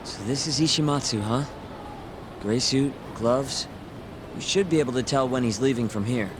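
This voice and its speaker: A man's voice: medium pitch, moderate pace, background noise, natural voice. A man playing a young, wry, streetwise sidekick in a video game cutscene, talking casually and a little sardonically. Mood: focused. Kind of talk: English video game cutscene dub acting. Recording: music playing behind the voice.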